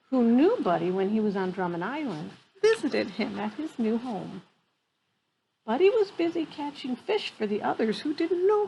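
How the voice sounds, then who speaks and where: A woman in her fifties reads a picture book aloud in an expressive way, pausing for casual asides about the pictures. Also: on the high side, poor audio. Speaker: storyteller reading a picture book to children. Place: outdoor wooden deck among trees. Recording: clear background noise, outdoor ambience.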